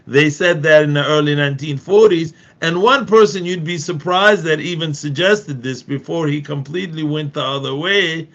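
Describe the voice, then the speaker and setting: A man's voice: a little high, fairly bright tone, lo-fi sound. A middle-aged Palestinian man in an earnest discussion, talking emphatically with frequent restarts and repeated phrases. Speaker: activist guest.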